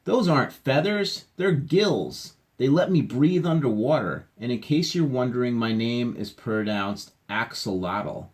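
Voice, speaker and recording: A man's voice: mid-range voice, expressive, a little slow, hardly any pauses, lightly acted. A man voicing storybook animals who explain their odd features in a proud, matter-of-fact, slightly put-upon way. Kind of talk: children's storybook read-aloud.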